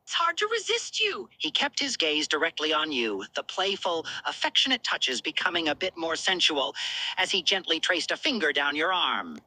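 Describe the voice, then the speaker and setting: A woman's voice: sits low, very animated, moderate pace, pushed and bright, low-quality recording. A woman narrating scripted romantic fan fiction.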